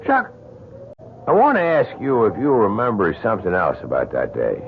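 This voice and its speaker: Gravelly, earnest young lumberjack. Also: male voice, fairly low pitch, very animated, moderate pace. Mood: suspicious, determined. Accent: rural American. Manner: plain-spoken, probing. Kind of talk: old-time radio drama acting. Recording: tinny, hissy old radio recording.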